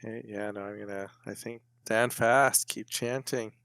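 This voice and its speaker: A man's voice: on the low side, fairly even intonation, moderate pace, vocal fry, fairly bright tone. A man talking casually as a player in a tabletop game, hesitantly thinking aloud.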